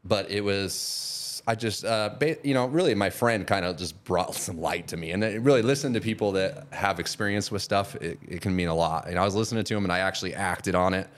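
Guest spot: very low-pitched man in his 40s, loose rambling talk that trails off. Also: a little fast, few pauses. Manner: rambling, with many restarts and trailing off quietly. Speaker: guest, custom painter and product seller. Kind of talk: casual podcast conversation.

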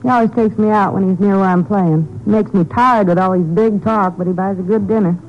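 An actress playing a weary, nervous nightclub performer in a 1950s radio crime drama, plain-spoken and slangy. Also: female voice, medium pitch, brisk, natural voice. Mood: wary, jaded. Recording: dull, faintly noisy old radio recording.

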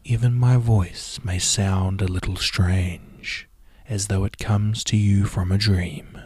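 A man guiding a hypnosis and relaxation session in a soft, soothing, often half-whispered delivery. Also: low voice, fairly even intonation, takes it slowly, slightly rough, no room sound, studio quality. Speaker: hypnotherapy guide. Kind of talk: guided hypnosis and relaxation script.

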